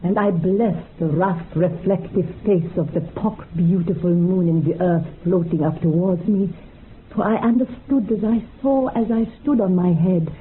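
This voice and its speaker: A woman's voice: fairly low pitch, moderate pace, lightly acted. An actress playing a solemn, utterly convinced female mystic, speaking in grand, incantatory poetic phrases in a radio drama. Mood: rapt, reverent. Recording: tinny narrow-band radio sound, faint noise.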